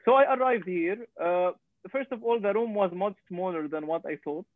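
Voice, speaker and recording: A young man with a Lebanese accent speaks in a fairly high voice over a narrow, phone-like connection with little room sound. He tells his story conversationally, with hesitant pauses along the way. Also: no room sound. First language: Arabic. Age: young adult. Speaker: podcast guest, startup founder. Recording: narrow, phone-like call audio, dry.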